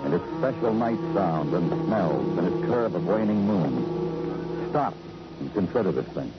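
Weary noir detective, American, low and expressive, brooding, vintage radio drama. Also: male voice. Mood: somber, brooding. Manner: brooding, deliberate, clipped in dialogue. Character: weary, brooding male New York police detective. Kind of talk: 1950s radio noir acting, poetic narration. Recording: dull vintage radio recording, loud music behind.

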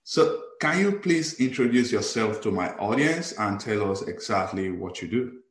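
A man's voice: fairly low pitch, lively intonation, normal speed. A man hosting a podcast as a life coach, enthusiastic and earnest, with some hesitations. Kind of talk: upbeat podcast interview. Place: lives in Houston. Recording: roomy echo.

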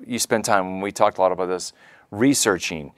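Low, dry-sounding male podcast host. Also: fairly quick, no room sound. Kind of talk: conversational interview.

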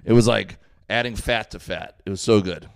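Podcast guest from Miami, low-ish male voice, eager, chatty storyteller. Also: normal speed, gravelly, slight background noise. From Miami, United States. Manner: chatty and eager, tells cooking stories with restarts. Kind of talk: casual podcast chat.

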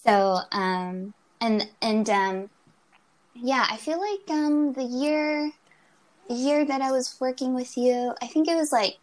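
A woman's voice: high voice, slow pace, a little mellow. A woman hosting an interview, speaking hesitantly with many fillers and small laughs. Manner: hesitant, many ums, giggly. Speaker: interview host. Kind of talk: conversational interview.

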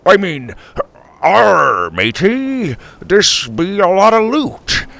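A man voicing a goofy, awkward grandfather in a children's audiobook, haltingly attempting hammy pirate talk. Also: sits high, very animated, gravelly, sharp tone, voice pushed up, full character voice. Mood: flustered, goofy. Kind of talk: children's adventure audiobook character voice.

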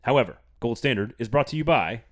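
A man's voice: medium pitch, animated, fast talker. A man hosting a casual sports podcast, playful and teasing, reading an ad with gusto. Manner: playful, teasing, reads ads with enthusiasm. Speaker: podcast host.

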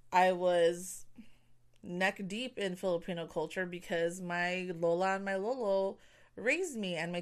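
Young woman host, casual candid monologue, smooth clear voice, slightly low pitch, chatty with many fillers. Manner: candid, chatty, heavy use of "like" and "you know". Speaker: podcast host.

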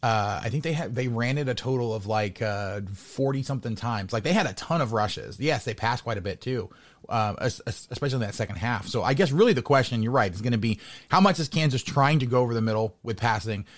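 A man's voice: mid-range voice, lively intonation, fairly quick. An American man hosting a casual sports interview, rambling and repeating words as he thinks aloud. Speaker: podcast host interviewing a sports guest.

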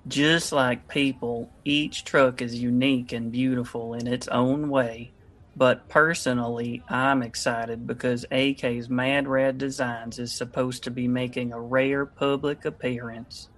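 A man playing an earnest, deadpan monster truck owner in a comedy sketch, speaking rather formally. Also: medium pitch, a little slow, lightly acted.

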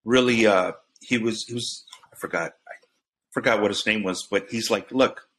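A man's voice: on the low side, moderate pace, fairly bright tone. A middle-aged man, a guest on a talk show, telling stories in a rambling way full of restarts and fillers. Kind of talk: casual storytelling.

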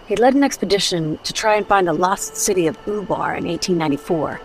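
A woman's voice: on the low side, quick pace, natural voice, poor audio. An actress playing a blunt, world-weary female adventurer in a radio detective drama. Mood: grim recollection. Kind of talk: old-time radio drama acting.

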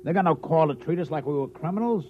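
A man plays a boozy, brawling American soldier in an old radio drama. His fairly high voice swoops around as he blusters and grumbles with a sense of grievance. The recording is dull, with background noise. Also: expressive, fast, close to an everyday voice. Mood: aggrieved. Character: aggrieved, boozy male soldier, brawling sidekick. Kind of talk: 1950s radio drama acting.

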